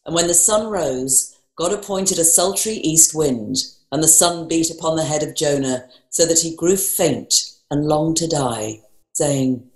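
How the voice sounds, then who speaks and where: Woman, low female pitch, scripted scripture narration, roomy echo. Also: unhurried, a little mellow. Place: plain indoor room, video call. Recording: echoey room on video call.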